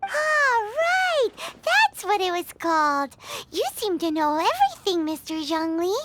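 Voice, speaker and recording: A woman's voice: extremely high pitch, measured pace, very noisy, exaggerated voice. A woman voicing a small, chirpy, cheeky childlike fairy companion in a video game. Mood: inquisitive. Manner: excitable, refers to self in third person. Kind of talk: video game cutscene voice acting.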